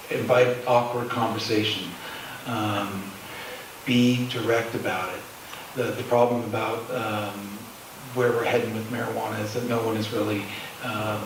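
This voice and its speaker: A man answering as a panelist in a public discussion, speaking haltingly with many pauses. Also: mid-range voice. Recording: distant mic, echoey room, noisy.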